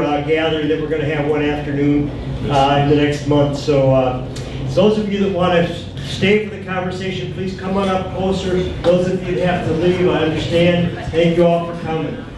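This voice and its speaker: Male host, 60s, slightly high-pitched, very reverberant room with chatter. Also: background noise. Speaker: event host and moderator. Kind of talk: informal event moderating. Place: busy restaurant event room, indoors. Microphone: handheld mic, picked up by distant camera. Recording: echoey, distant, busy room chatter.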